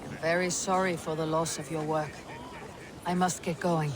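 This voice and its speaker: A woman playing a stoic, direct and courteous Viking warrior in a video game, speaking in a measured way. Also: low-pitched, flat delivery, noisy background, natural voice. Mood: calm. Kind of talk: video game character dialogue. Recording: loud music behind the voice.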